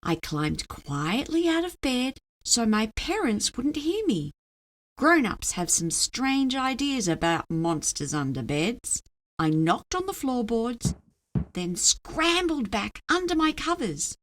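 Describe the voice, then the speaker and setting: Lady reading a kids bedtime book, super expressive swoopy voice, takes her time. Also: slow. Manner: dramatic, highly expressive. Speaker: storybook reader. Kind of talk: expressive children's read-aloud narration.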